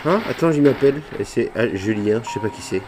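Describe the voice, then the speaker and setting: Man, cheeky game roleplay banter, swearing, provocative, medium pitch, faint background noise. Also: expressive, fast talker. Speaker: video creator playing a prankster in online roleplay.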